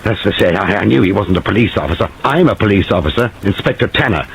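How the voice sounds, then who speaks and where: Brisk clipped cop , a man doing the voice, low voice but lively. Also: creaky, slight background noise. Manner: clipped, short explosive sentences. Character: brisk middle-aged male police inspector.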